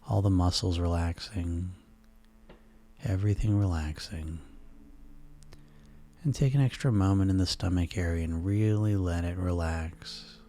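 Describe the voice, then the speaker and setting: A man leading a guided sleep hypnosis session in a soothing, hushed, instructional way. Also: low-pitched.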